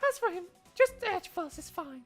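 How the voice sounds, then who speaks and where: Young girl prosecutor for game fandub, performed by a man, piercingly high, prim and superior. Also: very animated, a little fast, soft background music. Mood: haughty.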